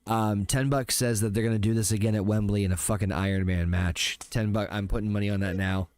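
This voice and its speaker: A man co-hosting a casual podcast, easygoing and quick to laugh, often stumbling into his points. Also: on the low side, steady tone, darkish tone, clear, resonant tone. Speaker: podcast co-host. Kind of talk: casual chat.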